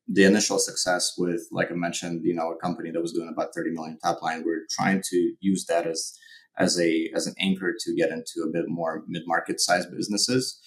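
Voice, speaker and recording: A middle-aged man from Ukraine with a Ukrainian accent, answering interview questions in a measured way, with many fillers and restarts. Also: sits low, low-quality recording. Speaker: guest, company founder.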